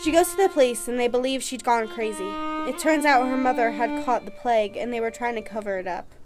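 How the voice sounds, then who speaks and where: High-voiced young bride played by an actress, over music. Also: female voice, fairly quick, natural voice. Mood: uneasy, fascinated. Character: excitable young newlywed bride, increasingly anxious. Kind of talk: radio horror play acting. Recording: music under the voice.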